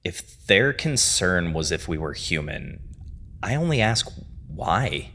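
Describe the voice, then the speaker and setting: A man delivers dramatic scripted storytelling narration, phrasing deliberately with weighty pauses. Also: sits low, sing-song, vocal fry, bright, forceful tone, a little hiss or hum. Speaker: narrator and host of a horror series review.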